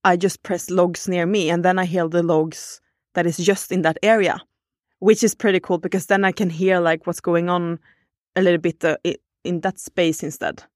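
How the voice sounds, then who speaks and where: A woman's voice: fairly low pitch, fairly even intonation, normal speed, darkish tone, dry recording, studio quality. A woman, chatting casually on a podcast and thinking aloud with fillers.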